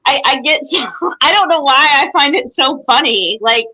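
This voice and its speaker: A woman guest on a livestream panel speaks in a high, bright voice that swoops up and down. She talks slowly and haltingly, with lots of fillers. She giggles often. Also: expressive, low-quality recording. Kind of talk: casual panel chat.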